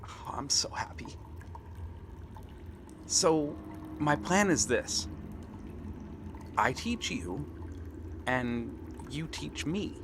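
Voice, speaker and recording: A man acting a friendly, eager young pirate prince in an earnest, gentle ASMR roleplay with pauses. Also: on the high side, lively intonation, noisy background, full character voice. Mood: eager, hopeful. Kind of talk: ASMR roleplay acting, one-sided dialogue. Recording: water and ambient sound effects behind. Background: seaside ambience, water sounds.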